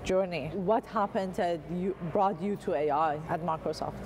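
A woman's voice: on the low side, normal speed, a little mellow, a little hiss or hum. A woman in her thirties interviewing a colleague in an enthusiastic way, in a large modern atrium. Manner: enthusiastic, short affirmations. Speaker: interviewer, product manager. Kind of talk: corporate interview.

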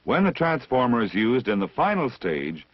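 A man reading scripted technical instruction as the narrator of a vintage military training film, measured and deliberate, on a dull old film soundtrack. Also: mid-range voice, big pitch swings, breathy edge, dry recording. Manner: measured, didactic, deliberate enunciation.

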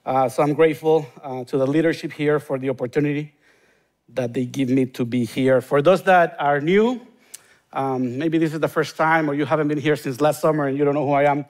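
A man's voice: a little high, fairly even intonation, brisk, a little mellow. A man in his forties from Honduras with a Honduran Spanish accent, a guest pastor preaching a sermon and praying, earnest and rising to emphatic bursts. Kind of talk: sermon with prayer. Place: large church stage, indoors.

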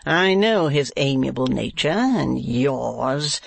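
A reader voicing a cold, scornful older man in a classic novel, with a rough, harsh voice and bitter, sardonic delivery. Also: medium pitch, lively intonation, takes it slowly, full character voice. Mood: bitter sarcasm. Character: cold, scornful, grasping older male uncle. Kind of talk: classic novel audiobook character.

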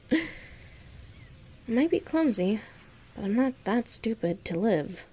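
A woman plays a clumsy young woman in an audio roleplay, anxiously reasoning things out under her breath. Her voice sits a little high, with a husky, breathy grain. She goes slowly and haltingly, as if talking only to herself. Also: very animated, dark tone, heavily acted. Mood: reasoning, planning. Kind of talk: audio roleplay monologue, thinking aloud.

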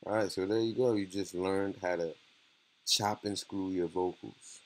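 A man giving a casual software tutorial in a laid-back, slangy way. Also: low-pitched, fairly even intonation, unhurried. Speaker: music production tutorial host.

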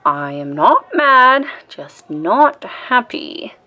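A woman's voice: fairly high pitch, sing-song, very slow, highs cut off, pitched above the performer's own voice, full character voice. A woman reading the part of a patient, plain-spoken critter father in a storybook. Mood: displeased, restrained.

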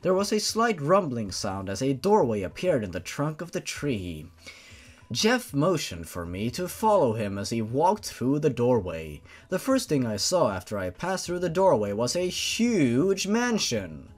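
A man's voice: mid-range voice, huge pitch swings, unhurried. A man doing a dramatic, sarcastic reading of a story as a host, with exasperated asides. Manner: sarcastic, theatrical. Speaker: host reading fan fiction aloud over gameplay.

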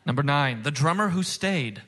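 A man's voice: a little high, lively intonation, moderate pace, noisy background. A man hosting a comedy game show on stage, with a showy, emphatic announcer delivery. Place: stage before a live audience.

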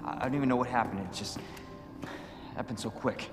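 An actor playing an earnest, uneasy young police rookie in a video game, asking questions sincerely. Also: male voice, mid-range voice, brisk, over loud music, natural voice. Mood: shaken. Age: 20s. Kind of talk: video game cutscene acting.

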